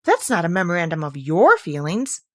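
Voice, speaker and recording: A woman reading a storybook as a brisk, bossy queen who contradicts in a curt, matter-of-fact way. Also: fairly low pitch, very animated. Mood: curt, corrective.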